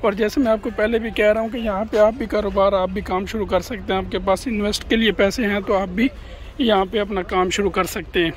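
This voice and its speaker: Man, high voice, chatty market-tour vlogger, phone mic outdoors. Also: fairly even intonation, fairly quick. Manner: chatty, keeps addressing viewers as "janab". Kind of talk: walking market tour vlog. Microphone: phone camera held by the walking speaker.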